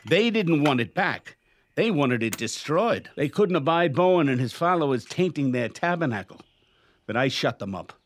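A man's voice: fairly high pitch, sing-song, moderate pace. An actor playing an aging, crafty and haughty male lawyer in a radio drama, with pompous, theatrical phrasing. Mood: haughty, indignant. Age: older. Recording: music bed behind the voice.

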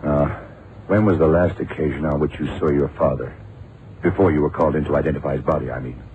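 Very deep-voiced, shrewd investigator. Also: male voice, fast talker. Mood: probing, polite. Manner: terse, probing, methodical questioning. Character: seasoned, shrewd male FBI investigator, commanding older colleague. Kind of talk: 1960s-70s radio drama acting. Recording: muffled, noisy old radio drama recording.

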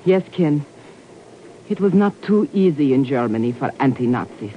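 An actress playing a guarded, world-weary German refugee in an old radio drama, speaking in measured, formal phrases. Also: female voice, rumbling low, animated. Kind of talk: 1950s radio drama acting. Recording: noisy, dull old radio recording.

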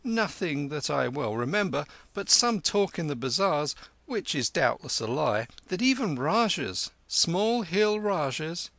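A man's voice: fairly high pitch, measured pace, few pauses, no echo, voice pushed up, exaggerated voice. A man doing a wheedling street boy. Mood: sly. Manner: wheedling, flattering.

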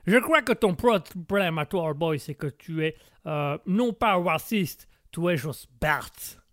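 A man's voice: on the high side, expressive, a bit bright, no room sound, studio quality. A man voicing a brash, arrogant American man who talks with a put-on American accent and drops English words into his speech. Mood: mocking. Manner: swaggering, slow drawl, drops English words.

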